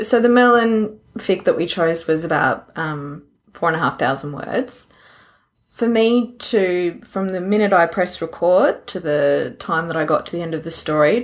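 A woman co-hosting a podcast in casual conversation, given to small laughs as she talks. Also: on the low side. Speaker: podcast co-host. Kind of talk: casual chat.

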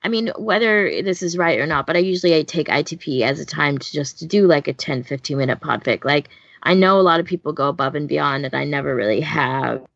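A woman's voice: low-pitched, brisk, creaky, a bit bright, no echo. A woman co-hosting a casual podcast, rambling and hedging in a self-deprecating way. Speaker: podcast host. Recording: dull, dry close recording.

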